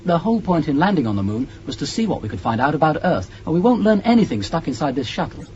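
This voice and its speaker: A man's voice: a little high, sing-song, fast talker, dark tone. An actor playing an eager, inquisitive young crewman in a 1980s radio space drama. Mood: insistent. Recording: dull old radio recording, faint noise.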